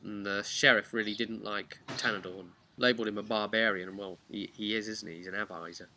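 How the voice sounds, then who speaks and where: Man, low voice, casual story recap, halting and chatty, wry asides, noisy recording with chirping birds. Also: steady tone, pushed and bright. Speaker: host of a tabletop role-play campaign recap. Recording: clear background noise with birdsong.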